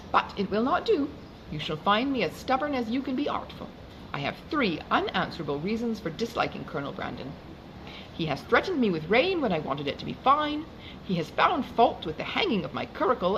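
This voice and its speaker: A woman voicing a stubborn, playful young gentleman from a period novel, teasing in mock-indignant formal speech. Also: medium pitch. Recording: clearly noisy background.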